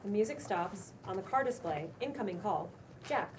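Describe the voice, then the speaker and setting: A woman's voice: medium pitch, animated, moderate pace. A woman reading stage directions as scripted narration at a table read. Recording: distant mic, loud room noise.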